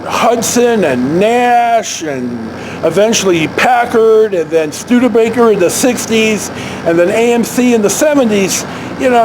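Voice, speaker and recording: High, animated man with background noise. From San Francisco Bay Area, United States. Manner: halting, with frequent self-corrections and asides. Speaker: vlog host telling personal stories. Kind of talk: casual rambling storytelling. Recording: clear steady background noise.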